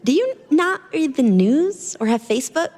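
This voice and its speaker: A woman's voice: high-pitched, sing-song, normal speed, a little mellow, in a large hall, pitched above the performer's own voice. A woman voicing a clever, petite young woman in a story, with a teasing, matter-of-fact way of talking.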